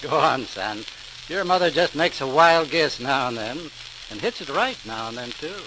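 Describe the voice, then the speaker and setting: A man's voice: mid-range voice, expressive, normal speed, lightly acted. An actor playing a proud, curious middle-aged engineer father in a 1940s radio drama. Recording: hissy, crackling 1940s radio recording.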